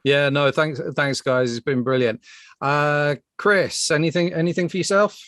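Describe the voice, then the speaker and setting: A man's voice: on the high side, fairly even intonation, moderate pace, a bit bright, dry recording, studio quality. Hesitant man hosting a podcast. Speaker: podcast host. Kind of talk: casual panel chat.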